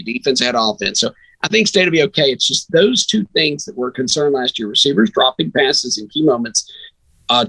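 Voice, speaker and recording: A male sports broadcaster with a bright, forceful, somewhat raspy voice. He chats through team analysis in a conversational way, slipping into acted-out quotes as he tells stories. The sound is close and dry. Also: medium pitch, no echo, poor audio. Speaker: radio sports broadcaster, guest.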